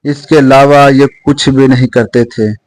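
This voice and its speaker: A man's voice: mid-range voice, fairly even intonation, measured pace, a little mellow, no echo, low-quality recording. A man narrating a scripted moral story as a storyteller.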